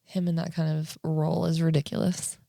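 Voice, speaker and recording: A woman's voice: deep voice, monotone, a little fast, vocal fry, warm tone, dry recording, studio quality. A woman co-hosting a podcast in a casual comedic chat, blunt and teasing with crude humor. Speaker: podcast co-host.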